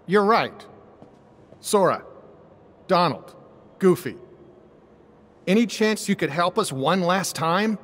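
Earnest toy sheriff, high male pitch, unhurried, animated, echoing hall. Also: full character voice. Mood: hopeful. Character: lanky cowboy toy sheriff, earnest, friendly leader. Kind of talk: animated video game dialogue.

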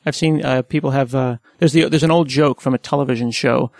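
A man's voice: medium pitch, steady tone, brisk, vocal fry, darkish tone, no room sound, studio quality. A man hosting a podcast interview, chatting casually and telling anecdotes between questions. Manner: conversational, storytelling asides. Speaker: podcast host.

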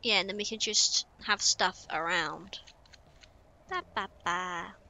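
A woman's voice: on the low side, slow, bright, forceful tone. A young woman, chatting casually to herself while playing a building game on stream. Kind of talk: casual gameplay commentary.

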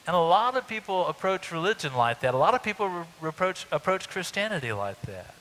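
A middle-aged man preaching a sermon on a church stage, talking in a conversational way with lively emphasis. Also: sits high, brisk, rough recording. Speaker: pastor. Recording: faint hiss.

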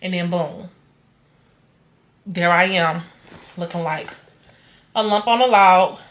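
A woman's voice: on the low side, takes it slowly, a bit bright, a little hiss or hum. A young woman, chatting casually to the camera at home at night, tired and rambling, often trailing off to herself. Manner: tired, rambling, talks to camera and her dog. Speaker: vlogger. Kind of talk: casual vlog chat.

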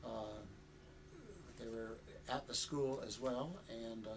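Older man, rambling oral history, hesitant fillers, chuckles, mid pitch, faint room hiss. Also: fairly even intonation, takes it slowly. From Canada. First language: Chinese. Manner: rambling, hesitant with many uh's, chuckles often. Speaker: interviewee. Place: indoor studio with flag backdrop.

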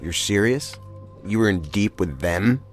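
No-nonsense senior agent, terse, questioning, deep voice, faint music, sci-fi drama. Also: male voice, animated, a little slow. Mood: probing. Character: probing, no-nonsense male senior federal agent. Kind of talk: science fiction audio drama acting.

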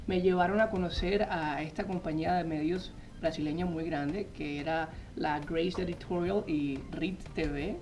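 A man, a magazine editor telling his story as a guest in a TV studio interview, animated and full of "eh" fillers, speaking into a lapel mic. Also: fairly high pitch, normal speed, hardly any pauses. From Colombia. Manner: animated storytelling, frequent "eh" fillers, gestures while talking. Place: TV news studio set.

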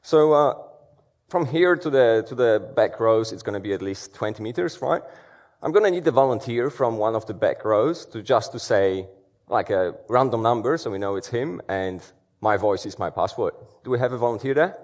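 A man's voice: on the low side, lively intonation, normal speed, a little mellow. Man giving a conference talk. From Poland. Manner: conversational, many fillers and restarts. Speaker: security conference speaker. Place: large dark auditorium stage.

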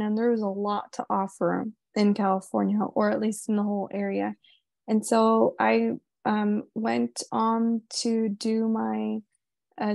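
A woman's voice: a little high, vocal fry, mellow, no room sound. A young woman from Puerto Rico, a panelist introducing herself over a video call on her phone, talking conversationally with many fillers.

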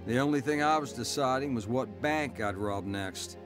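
Actor as a hardened convict narrator over music. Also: male voice, medium pitch, moderate pace, natural voice. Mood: wry, hard. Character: hardened male convict telling his life story. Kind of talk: scripted first-person radio drama narration. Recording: music playing under the voice.

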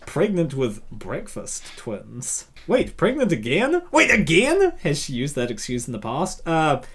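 A woman hosting casual comedic commentary in an excitable, theatrical, playful way. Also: low voice, unhurried, slight background noise. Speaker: host reacting to a web comic.

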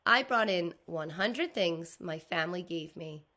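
A woman's voice: fairly low pitch, expressive, a little slow, bright, forceful tone. A woman reading the part of an eager, slightly anxious little schoolgirl in a storybook, listing things earnestly. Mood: earnest, hopeful.

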